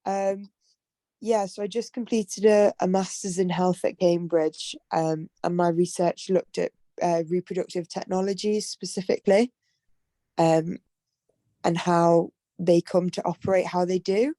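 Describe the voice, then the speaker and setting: A hoarse young woman speaking hesitantly and modestly on a video call. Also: lowish voice, steady tone, unhurried, warm tone, dry recording. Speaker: workshop participant. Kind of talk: casual chat on a video call.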